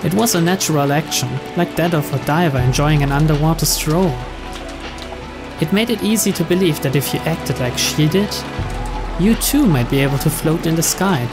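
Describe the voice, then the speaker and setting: Man narrating, slightly high-pitched voice. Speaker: narrator, game playthrough host. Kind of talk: reading visual novel narration aloud. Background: clear game music.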